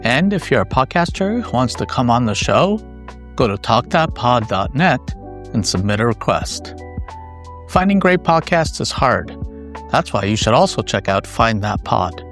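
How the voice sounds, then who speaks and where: A man's voice: medium pitch, a bit bright. A man speaking as a podcast host, in a presenter's style. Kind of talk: interviewing and scripted sign-off.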